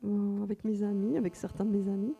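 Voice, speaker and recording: A woman's voice: mid-range voice, fairly even intonation, fast, vocal fry, warm tone, highs cut off. A woman whose first language is Russian, speaking as a guest author at a festival, reflective and hesitant with many fillers. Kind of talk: unscripted festival talk. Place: festival meeting room with audience.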